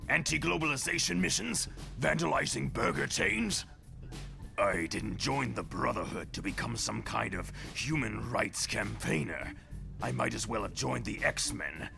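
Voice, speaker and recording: A man plays a cynical animal-mutant rebel with a very gravelly, medium-pitched voice. He grumbles scornfully like a comic-book malcontent, over prominent music. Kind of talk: motion comic voice acting.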